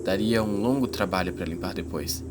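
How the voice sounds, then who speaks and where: A man's voice: low voice, moderate pace, noisy background, lightly acted. A Brazilian man playing a teenage schoolboy who narrates an uneasy fantasy adventure in a dramatized audiobook. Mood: narrating. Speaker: first-person narrator.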